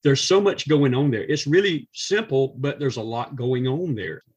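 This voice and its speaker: Husky male drummer, enthusiastic podcast guest. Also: mid-range voice, normal speed. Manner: emphatic, enthusiastic. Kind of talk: enthusiastic casual music talk.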